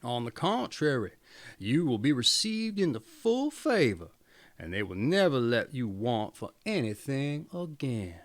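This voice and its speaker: A man's voice: medium pitch, sing-song, measured pace. A man voicing a friendly but scheming wolf in a storybook reading, with a folksy, coaxing manner. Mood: reassuring, plotting. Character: friendly, scheming male wolf, loyal old-fella pal.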